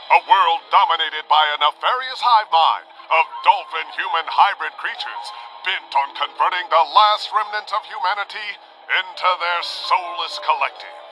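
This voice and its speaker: A man's voice: sits high, bright, forceful tone, poor audio. A man playing a dramatic, portentous host narrating an old-time radio serial.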